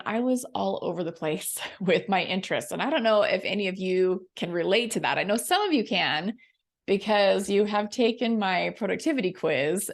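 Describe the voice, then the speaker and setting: American mom-age lady podcasting solo, scratchy laryngitis voice, kinda deep n croaky, friendly and chatty. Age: middle-aged. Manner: friendly, upbeat, informal. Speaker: podcast host and coach.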